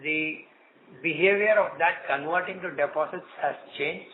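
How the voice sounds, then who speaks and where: A man's voice: fairly high pitch, normal speed. A man answering analysts as a bank executive, often posing short questions and answering them himself. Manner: asks and answers own questions. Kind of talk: earnings call answers, unscripted. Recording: muffled phone conference line, noisy.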